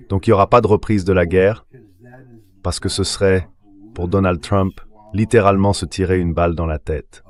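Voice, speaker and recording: Man, very low voice, political commentator, translated voice-over, emphatic and assertive delivery. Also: darkish tone. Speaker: interview guest, political commentator.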